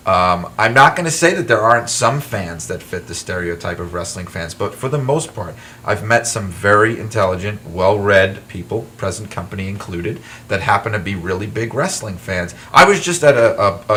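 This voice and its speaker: A man's voice: low voice, slight background noise. A man, an author guest, talking animatedly in a casual interview, with frequent restarts. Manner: animated, self-deprecating storyteller with frequent restarts. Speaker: author and wrestling historian guest. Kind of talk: casual talk-show interview.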